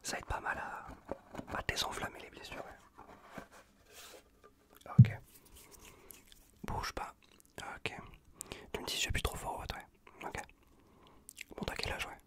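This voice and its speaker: A man with a French accent from France whispers a casual, reassuring ASMR roleplay as a caring survivor tending a wounded stranger, breathy and close to the microphone. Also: slow pace, long pauses, bright, forceful tone. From Bordeaux region, France. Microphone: close Blue Yeti microphone.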